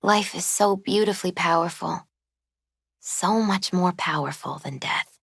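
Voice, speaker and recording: A woman playing a gentle, spiritual young tarot reader in a video game, soft-spoken, unhurried and often hushed. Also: lowish voice, vocal fry, breathy edge, dry recording, studio quality, heavily acted. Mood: wistful.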